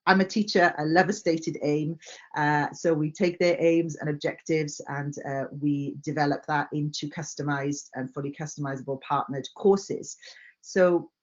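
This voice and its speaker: A woman's voice: deep voice, fairly even intonation, moderate pace, muffled recording. A Welsh woman giving a conference presentation, friendly and earnest, with frequent "uh" fillers. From Wales. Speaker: company director.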